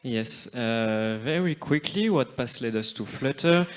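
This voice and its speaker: A man talks through an app demo from a conference stage, in a voice of ordinary pitch. He describes each step in short, hesitant phrases with frequent fillers. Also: expressive, a little slow. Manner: hesitant, plain short steps narrating a demo. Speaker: conference speaker. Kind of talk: live app demo commentary.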